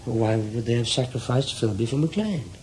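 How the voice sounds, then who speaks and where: An actor playing a middle-aged spymaster in a radio drama, reserved and businesslike, speaking in short probing questions. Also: male voice, mid-range voice, lively intonation, a little fast, darkish tone, a little hiss or hum, lightly acted, rough recording. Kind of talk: radio drama acting, conversational.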